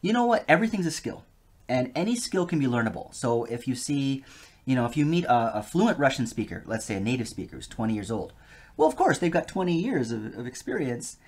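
Guy chatting in an interview, super animated, voice jumps all over, laughs a lot. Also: mid-range voice, fairly quick, slightly rough. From United States. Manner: animated, enthusiastic, laughs easily. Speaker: guest, polyglot. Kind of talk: casual interview conversation.

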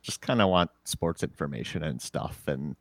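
A man with a very low voice hosts a casual sports podcast. He rambles easily and chuckles between thoughts, recorded close and dry. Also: moderate pace, dry recording. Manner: relaxed, rambling, chuckles often. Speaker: podcast host.

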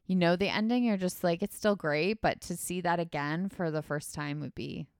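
A woman's voice: lowish voice, normal speed, clear, resonant tone, dry recording, clean studio sound. A young woman co-hosting a podcast, chatting casually about movies, with lots of fillers and frequent laughs. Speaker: podcast co-host. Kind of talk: casual chat.

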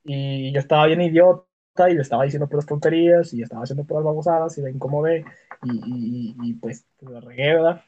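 A man's voice: fairly high pitch, fast talker, dark tone. A young adult man with a Mexican accent chatting casually as a podcast co-host, telling personal stories in slangy, sweary language. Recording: dull lo-fi voice audio.

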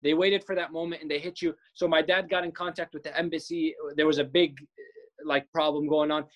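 A man's voice: a little high, flat delivery, brisk, a bit bright. Man, young adult, from Palestine, earnest, repeats and restarts words. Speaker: filmmaker guest. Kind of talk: podcast interview storytelling.

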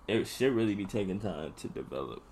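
A man's voice: sits low, lively intonation, a little fast, vocal fry, darkish tone. A man in his mid twenties talking casually and in slang as a co-host in a group podcast discussion. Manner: slangy, informal. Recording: noticeable background noise.

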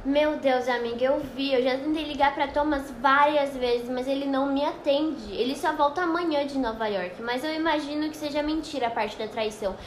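A woman's voice: high voice, moderate pace, hardly any pauses, reverberant, natural voice. A woman acting a wronged, dramatic young wife in an amateur radio soap opera. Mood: worried. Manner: dramatic, gasping, exclamatory. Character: young wife and model, wounded, swooning over gifts.

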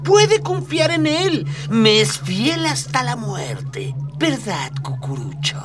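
A haughty radio drama lord, super swoopy n slow, far off under loud music. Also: medium pitch, big pitch swings. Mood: grandly courteous. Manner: grandiose, drawn-out, swooping delivery. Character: theatrical, haughty lord addressing an ambassador and servant.

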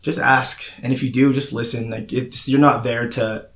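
A man's voice: medium pitch, little pitch movement, moderate pace, a bit bright. A young man hosting a podcast, speaking candidly in a casual, rambling way with frequent restarts and fillers. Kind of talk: casual conversation. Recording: roomy echoey room.

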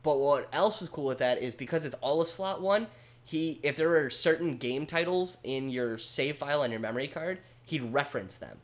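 A man co-hosting a casual podcast, explaining at length in an animated, rambling way with casual swearing. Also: on the high side, moderate pace. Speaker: podcast co-host. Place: home basement studio.

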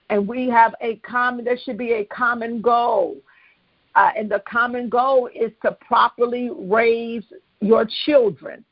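A woman's voice: a little high, dry recording. Woman pastor preaching over a phone line. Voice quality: strong voice. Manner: preachy call-and-response with the listeners. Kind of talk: preaching on a live prayer call.

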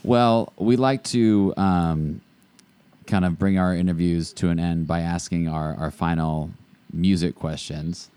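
A man's voice: sits low, steady tone, a little slow, mellow, no echo. A man co-hosting a podcast interview who jokes and chats playfully with the guest. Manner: joking, playful. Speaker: podcast co-host. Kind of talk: casual interview chat. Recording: clear background noise.

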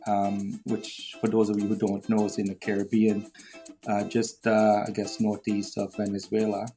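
A middle-aged man from Trinidad, a podcast guest chatting warmly and pausing often with fillers. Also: lowish voice, monotone, soft background music. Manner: warm, talks with many fillers and pauses. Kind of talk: casual interview chat.

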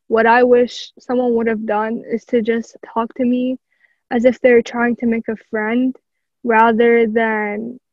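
A woman's voice: on the high side, no echo, muffled recording. A young woman of Palestinian origin, a guest in a casual interview, speaking in an earnest, reflective way with frequent fillers. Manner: earnest, reflective, uses "honestly" and "like" often.

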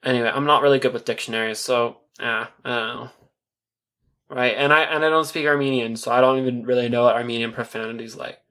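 A man's voice: mid-range voice, steady tone, fast, bright, forceful tone. A man performing a first-person audio drama monologue as narrator, in an awkward, earnest storytelling manner. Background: music.

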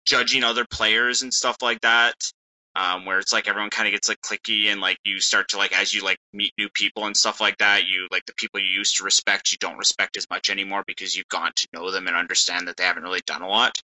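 A man's voice: sits low, level tone, fast talker, sharp tone, rough recording. A man co-hosting a podcast in casual banter, teasing his friend and talking with many "like" fillers. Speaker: podcast co-host.

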